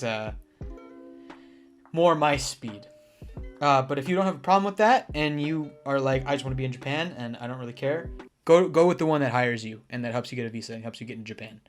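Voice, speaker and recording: A young man in casual talk to camera, giving earnest advice about teaching jobs in Japan, with restarts and fillers. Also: medium pitch. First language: English. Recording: soft guitar music behind.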